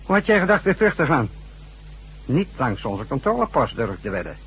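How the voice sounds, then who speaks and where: A man's voice: medium pitch, very animated, a little fast, a bit bright, a little hiss or hum, rough recording. An actor playing a menacing, smugly taunting male chief giving cold orders in a science fiction radio drama. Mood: cold, smug menace.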